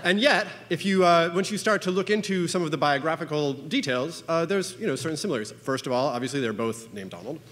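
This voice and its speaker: A man giving an informal conference talk, halting and full of "uh" and "you know", with wry asides. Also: fairly high pitch, animated, fairly bright tone. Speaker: conference speaker. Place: conference hall. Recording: long reverb of a lecture hall.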